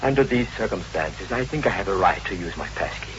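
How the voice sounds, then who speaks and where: An actor playing a fussy, self-important apartment manager with a prim, officious manner in a vintage radio drama. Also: male voice, low-pitched, lively intonation, brisk, fairly bright tone. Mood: fretful. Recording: dull, noisy old radio recording.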